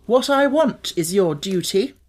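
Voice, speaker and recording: Storybook role: sickly, imperious little master, pitched high, used to giving orders; played by a man. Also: lively intonation, unhurried, a little mellow. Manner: haughty, commanding. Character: imperious spoiled sickly rich young boy.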